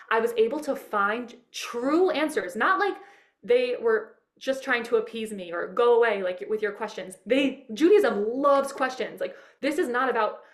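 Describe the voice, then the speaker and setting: Woman guest, higher voice, rambling and eager, recorded in an echoey room. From Manhattan, New York. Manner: eager, rambling, many fillers and restarts. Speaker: interview guest. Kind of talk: casual personal storytelling. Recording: roomy echo.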